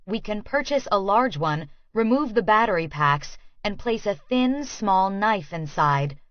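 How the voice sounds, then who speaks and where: A woman's voice: medium pitch, expressive, a little slow, no echo, lo-fi sound. A woman reading a scripted first-person novel as an audiobook narrator.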